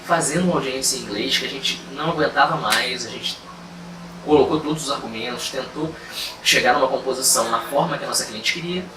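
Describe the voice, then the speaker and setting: A man from Rio, a lawyer recounting a case in an easygoing, chatty way, pausing often on fillers. He sits back from the mic in a reverberant room, with a steady noise bed audible behind his voice. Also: mid-range voice. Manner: relaxed, conversational, frequent fillers and asides. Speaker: lawyer telling a case story. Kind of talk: casual storytelling to camera. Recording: roomy, distant mic, clear background noise.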